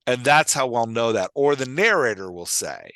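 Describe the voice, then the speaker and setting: A man's voice: mid-range voice, animated, moderate pace, bright, forceful tone, no room sound, studio quality. A man hosting and coaching in a conversational, explanatory way, often checking that the listener follows. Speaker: podcast host and writing coach.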